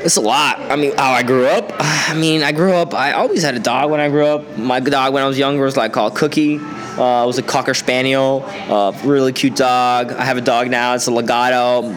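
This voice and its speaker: A man's voice: medium pitch, fairly even intonation, a little fast, fairly bright tone. A young man from Sweden with a slight Swedish accent, a podcast guest talking casually in a laid-back, rambling way full of "like". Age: young adult. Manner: laid-back, rambling, laughs often, lots of "like".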